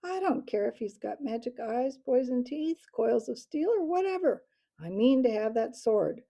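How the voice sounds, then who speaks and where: Young male mouse hero, earnest and stubborn, a woman reading it; husky, slightly gravelly. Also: on the high side, sing-song, a little slow, lo-fi sound, pitched above the performer's own voice, heavily acted. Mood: defiant. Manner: earnest, defiant, shouting challenges.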